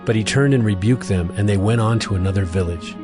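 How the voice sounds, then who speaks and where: A man narrating scripture from a script as a measured storyteller. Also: lowish voice. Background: music.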